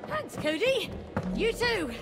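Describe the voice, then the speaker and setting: An actress voicing a small, practical, determined doll wife with a brisk, commanding manner in an animated video game. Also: female voice, very high voice, exaggerated voice. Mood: practical, dry. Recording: loud game music and effects behind.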